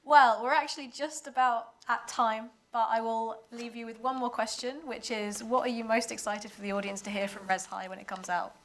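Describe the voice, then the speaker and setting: A woman's voice: fairly high pitch, fairly even intonation, moderate pace, hardly any pauses, a bit bright, slight background noise. A woman moderating a live panel discussion, putting questions to the speakers. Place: live panel at a games festival.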